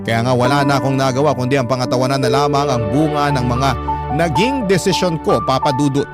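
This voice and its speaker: A man's voice: mid-range voice, a little fast, over loud music. A man with a Filipino accent narrating a scripted, sentimental first-person letter as a radio storyteller.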